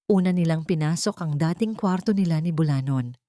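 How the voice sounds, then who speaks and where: Female story narrator, lowish register, solemn measured phrasing, dry studio-like sound. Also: warm tone, no room sound. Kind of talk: scripted dramatic story narration.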